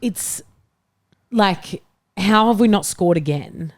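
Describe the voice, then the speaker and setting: A woman's voice: mid-range voice, animated, slow pace, darkish tone, dry recording. A woman talking casually as a football podcast panellist, colloquial and emphatic. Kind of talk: casual chat, football debate.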